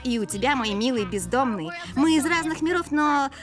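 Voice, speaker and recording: A woman's voice: sits high, animated, moderate pace. A woman voicing a bossy, flirtatious female cat in a cartoon dub, coquettish and commanding. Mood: coy, flirtatious. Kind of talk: cartoon dubbing. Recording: music behind the voice.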